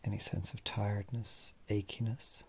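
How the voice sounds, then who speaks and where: A man leading a guided body scan meditation in gently instructing, unhurried phrases with long pauses, his husky, breathy voice often trailing off into a near-whisper. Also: fairly low pitch, flat delivery, a little hiss or hum. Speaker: mindfulness meditation teacher.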